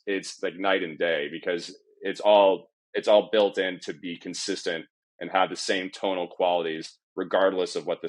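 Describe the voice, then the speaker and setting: A man speaking as a panel guest on live sound, explaining off the cuff with halting, repeated phrasing. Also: sits low, a bit bright. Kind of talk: panel discussion, unscripted explanation.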